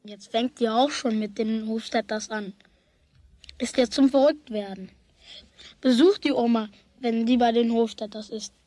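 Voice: medium pitch, steady tone, measured pace, warm tone, no room sound, lightly acted. An actor playing a stubborn, jealous schoolboy in a children's radio play, grumbling indignantly to himself. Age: child figure.

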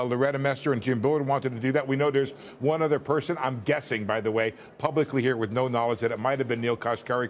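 A middle-aged man commentating in a live TV debate over a remote link, arguing his point in a measured, conversational way. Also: medium pitch. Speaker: news commentator. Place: indoor room.

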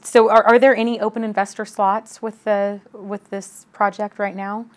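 A woman in her thirties hosting an interview, asking polite, brisk questions in an office. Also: medium pitch, flat delivery. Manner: polite, scripted intro then brisk questions. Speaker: host. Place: office, indoors. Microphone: lapel mic.

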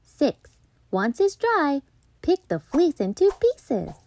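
Very animated, high-voiced woman reading a storybook. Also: no echo. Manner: playful, deliberate, storytelling delivery with dramatic emphasis. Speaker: storytime host reading a children's picture book. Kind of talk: expressive children's read-aloud narration.

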